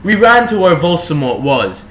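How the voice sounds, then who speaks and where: A man with a bright, forceful voice delivers a mock-serious dramatic reading. He is exaggerated and theatrical and slips in sardonic asides. There is a faint noise behind him. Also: a little high, big pitch swings, moderate pace, low-quality recording.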